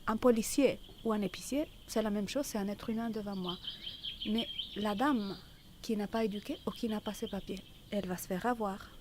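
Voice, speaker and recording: A woman's voice: mid-range voice, normal speed, darkish tone. Woman, middle aged, outspoken chatty testimony, mid voice, birds and noise around. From Italy. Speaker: interviewee giving testimony. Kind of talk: conversational personal testimony. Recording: clear outdoor noise with birdsong. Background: birdsong and ambience.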